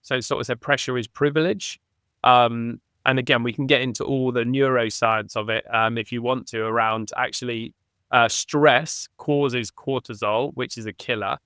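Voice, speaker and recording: A man in a relaxed podcast interview, the ex-rugby player guest thinking aloud and circling back on his points. His voice is smooth and clear, recorded close on a dead, dry mic. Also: medium pitch, normal speed, no echo. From England. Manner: thinks aloud with restarts, animated on key points. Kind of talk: reflective conversational interview.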